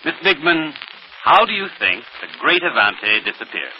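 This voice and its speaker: Polished actor as radio detective, muffled recording. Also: male voice, medium pitch, very animated, normal speed, a little hiss or hum, natural voice. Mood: courteous hosting. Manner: polished, unruffled. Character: composed, clever young male amateur detective. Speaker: detective and quiz host. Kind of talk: 1930s radio acting and hosting.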